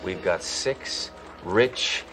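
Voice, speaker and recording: An actor playing a tough, wisecracking male police detective who talks in a gruff, sarcastic, deadpan way. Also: deep voice, drawn-out words, faint music behind, full character voice, poor audio. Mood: sardonic.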